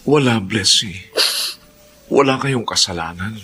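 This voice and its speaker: A man's voice: lowish voice, lively intonation, a little slow, bright, forceful tone, husky, full character voice. A man playing an earnest, supportive friend in a Filipino radio drama, speaking reassuringly. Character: earnest, supportive male friend, gentle and devout.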